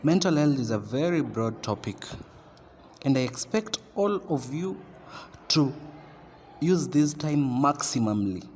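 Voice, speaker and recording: An actor with a Kenyan accent playing a stern class teacher who lectures and scolds in a halting, emphatic way in an amateur radio drama. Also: male voice, mid-range voice, lively intonation, a little mellow, close to an everyday voice. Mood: earnest, admonishing. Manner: admonishing, emphatic, halting delivery. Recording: noisy, very reverberant room.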